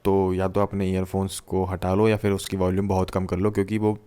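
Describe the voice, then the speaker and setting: A man's voice: low-pitched, completely flat delivery, brisk, mellow, clean tone, no echo. A man explaining technology in a casual, informal tutorial style, mixing in English technical terms. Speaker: tech tutorial host.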